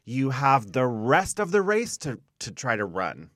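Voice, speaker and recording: A man's voice: medium pitch, very animated, unhurried, a bit bright, dry recording, studio quality. A man in his late thirties talking candidly on a podcast, speaking emphatically, with repetition and casual swearing. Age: late 30s. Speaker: podcast host. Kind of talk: candid personal conversation.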